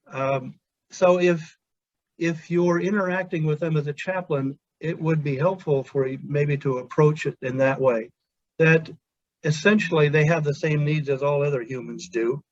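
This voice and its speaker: A male chaplain whose first language is Pennsylvania Dutch, giving a measured, earnest webinar talk with pauses and restarts. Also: a little high, fairly even intonation, darkish tone, no echo. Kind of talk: webinar presentation. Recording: dull, dry lo-fi audio.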